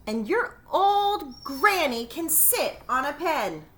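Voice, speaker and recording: Woman doing grumpy rude pig from a kids book, yelling, brusque, bright forceful voice. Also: sits high, big pitch swings, slow pace, pitched above the performer's own voice, heavily acted. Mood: rude, irritated. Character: rude, gruffly dismissive male storybook pig.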